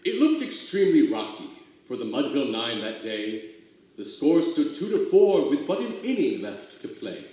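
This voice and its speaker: A man giving a dramatic poetry recital, with expressive, theatrical storytelling and drawn-out emphasis. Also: medium pitch. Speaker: reader of poetry for a theater company. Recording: very echoey, roomy sound.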